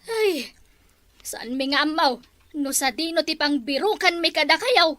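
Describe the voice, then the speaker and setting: A woman's voice: high-pitched, expressive, measured pace, sharp tone, dry recording, full character voice. A woman playing an insistent, demanding villager in an Ilocano comedy drama.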